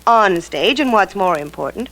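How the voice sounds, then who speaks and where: An actress playing a self-possessed, wisecracking stage star in a 1940s radio drama, brassy and wry, on a noisy old recording. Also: female voice, mid-range voice, animated, moderate pace, a bit bright, faint music behind. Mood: possessive, cutting.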